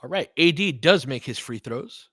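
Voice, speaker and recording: A man's voice: mid-range voice, animated, normal speed, a bit bright, breathy edge, no room sound, studio quality. A man doing casual live basketball commentary as a fan host, slangy and sweary, talking to the players.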